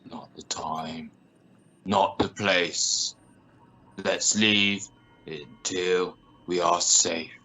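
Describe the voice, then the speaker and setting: An amateur actor playing a gruff, commanding and sarcastic expedition driver in a sci-fi radio play. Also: male voice, low-pitched, fairly even intonation, very slow, pushed and bright, slight background noise, full character voice. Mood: tense, focused.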